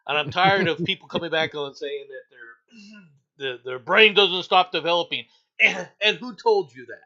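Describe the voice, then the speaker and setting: A man's voice: a little high, normal speed, sharp tone, highs cut off. A male talk show host giving blunt, opinionated news commentary, repeating himself for emphasis.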